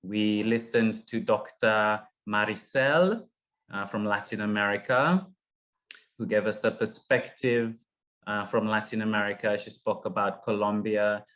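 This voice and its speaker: A middle-aged man from Uganda moderating an online panel, speaking haltingly with many fillers and restarts over a video call. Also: lowish voice, steady tone, a bit bright. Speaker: session moderator.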